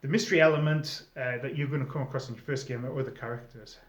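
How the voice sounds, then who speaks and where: A man's voice: mid-range voice, steady tone, fast. A middle-aged man giving informal, conversational advice as a presenter explaining things to newcomers. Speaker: presenter, former game storyteller. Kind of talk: informal advice video monologue. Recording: echoey room, slightly dull sound.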